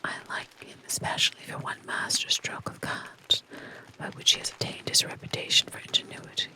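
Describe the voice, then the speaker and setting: A reader voicing a shrewd, sardonic male detective in a soft whispered storybook reading. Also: natural voice, low-quality recording. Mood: wry. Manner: whispered, unhurried and dry.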